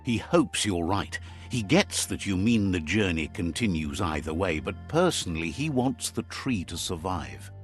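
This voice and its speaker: A low male voice with lively, swooping intonation narrates a video game fable. He sounds like a wry old-style storyteller, measured and philosophical, with music playing underneath. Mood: reflective, sage.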